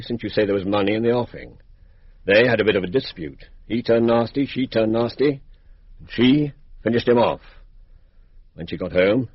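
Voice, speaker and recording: An actor playing a blunt, confident English police inspector, chatty and matter-of-fact, in a radio drama. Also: male voice, lowish voice, breathy edge, no echo, exaggerated voice. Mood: confident, brisk. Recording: dry, dull lo-fi radio recording.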